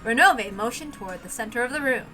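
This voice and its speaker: Female story reader, high-pitched and bright, scripted visual novel narration. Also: animated, fast, soft background music.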